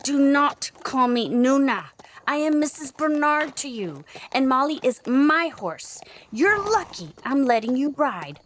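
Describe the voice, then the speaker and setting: A proud young frontier wife in an audio drama. She speaks in a fairly high voice, curt and formal, quick to bristle, with some background noise. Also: very animated, a bit bright, exaggerated voice. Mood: indignant, curt. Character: proud, prickly young married frontier trapper's daughter.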